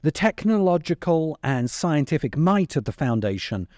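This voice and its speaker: A middle-aged man delivering scripted commentary as a video essayist, with measured, emphatic phrasing. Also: fairly high pitch, sing-song, darkish tone, no echo, studio quality. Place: open-sided covered outdoor space. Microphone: desk microphone close in front. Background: music.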